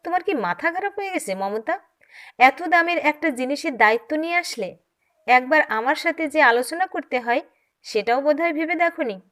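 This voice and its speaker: A woman's voice: high voice, expressive, normal speed, a bit bright, pitched above the performer's own voice. A woman voicing an angry, worried husband who scolds his wife in an audio story. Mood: indignant scolding. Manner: scolding, indignant.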